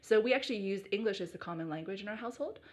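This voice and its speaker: A woman's voice: medium pitch, animated, racing. A young woman from the United States, chatting casually as an interview guest, with lots of fillers and easy laughter. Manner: chatty, many fillers and restarts, laughs easily. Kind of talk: casual conversation.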